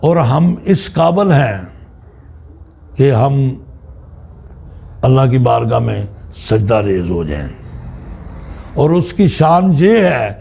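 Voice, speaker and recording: A man with a Pakistani accent giving a religious teaching talk as a spiritual guide, in deliberate, halting phrases with pauses. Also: medium pitch, sing-song, creaky, a little mellow, breathy edge.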